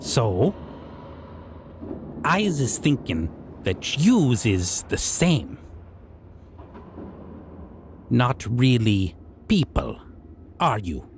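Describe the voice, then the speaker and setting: A man's voice: mid-range voice, big pitch swings, slight background noise, full character voice. A man voicing a stern, civilized goblin king who speaks in odd broken grammar, like a menacing judge in a fantasy roleplay audio drama. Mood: musing, contemptuous. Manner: broken, unusual grammar with drawn-out questioning.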